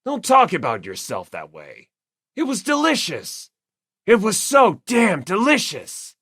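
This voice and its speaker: A woman's voice: mid-range voice, huge pitch swings, unhurried, a bit bright, slightly rough, no room sound, clean studio sound, heavily acted. A woman voicing a blunt, manly, sincere teenage tough guy in an audiobook. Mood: forceful, sincere. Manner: blunt, emphatic. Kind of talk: audiobook character acting.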